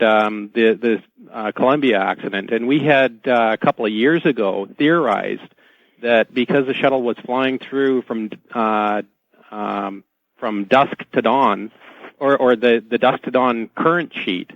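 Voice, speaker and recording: A man as a radio guest, explaining things haltingly and often repeating words. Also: medium pitch, no echo. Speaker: talk show guest. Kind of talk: explanatory radio interview talk. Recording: dull, lo-fi dry radio feed.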